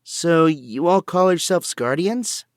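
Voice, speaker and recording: A man's voice: on the high side, lively intonation, takes it slowly, a little hiss or hum. A man playing an earnest, brainy young inventor hero in an audio drama, with precise, technical, polite speech. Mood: focused, friendly.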